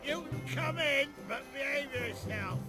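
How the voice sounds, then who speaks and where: A man's voice: extremely high pitch, unhurried. An actor, a man, playing a jovial, tipsy village fellow in a comic video game cutscene. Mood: jovial, wary. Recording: loud music over the voice.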